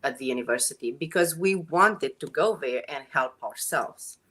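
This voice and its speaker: Romanian-accented woman guest, middle-aged, deep low voice, animated and earnest. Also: normal speed. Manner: animated, laughs often, earnest. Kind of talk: casual interview.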